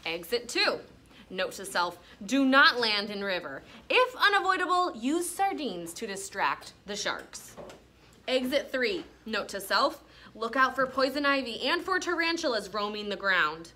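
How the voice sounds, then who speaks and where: A woman teacher reading a picture book aloud to a class in an expressive, instructional way, pausing to explain and ask questions. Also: fairly high pitch. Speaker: elementary school teacher.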